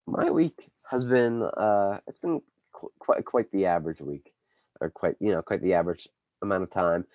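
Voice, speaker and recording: Give me a congested teen guy with a low voice. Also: moderate pace, dry recording. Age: teens. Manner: rambling, self-correcting. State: congested, dry throat. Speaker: podcast co-host. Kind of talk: casual sports chat. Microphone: basic home podcast setup. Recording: dull lo-fi dry recording.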